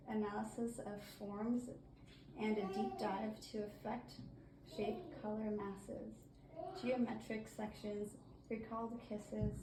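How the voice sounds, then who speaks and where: A woman reading poetry aloud as an amateur, heard from a distance on a noisy, echoey recording. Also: medium pitch. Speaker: family member reading poetry.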